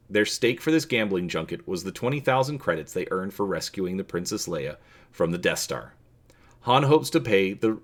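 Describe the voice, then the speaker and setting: A man reading a story aloud as a narrator, in an expressive, theatrical manner. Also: fairly low pitch. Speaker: reader and channel host.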